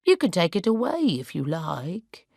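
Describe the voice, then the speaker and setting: A woman's voice: lowish voice, very animated, measured pace, vocal fry, a little mellow, no echo, clean studio sound, heavily acted. A woman voicing a demanding, forgetful grandmother in her eighties. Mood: cheered. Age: 80s. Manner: fussy, demanding.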